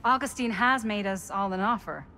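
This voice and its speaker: An actress playing a sceptical, level-headed woman in a video game, guarded and measured with some hesitancy. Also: mid-range voice, bright, forceful tone, faint music behind. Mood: guarded, apologetic. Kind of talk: cinematic video game acting.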